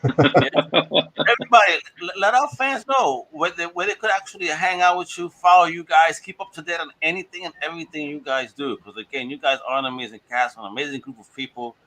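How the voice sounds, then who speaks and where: A man's voice: a little high, a little fast, bright, forceful tone, low-quality recording. A man from Brooklyn hosting a podcast, chatting casually and eagerly hyping up his guests. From Brooklyn, New York. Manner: enthusiastic, hypes guests up. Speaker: podcast host. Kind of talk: casual chat.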